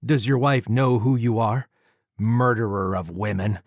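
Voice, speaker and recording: A man performs a determined avenger in a fantasy audiobook. The voice is somewhat low and gravelly. He speaks with measured, dry politeness and cold accusation, deadpan throughout. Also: no echo. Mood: accusing. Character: resolute demigod avenger, a dry, polite hunter of murderers.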